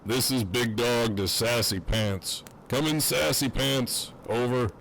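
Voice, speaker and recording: A man's voice: medium pitch, takes it slowly, gravelly, fairly bright tone, slight background noise. A man playing a cocky, crude prisoner who teases in wry, swaggering banter in an audio drama. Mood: teasing. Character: cocky, crude, teasing imprisoned man on a walkie-talkie. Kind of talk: fan audio drama acting.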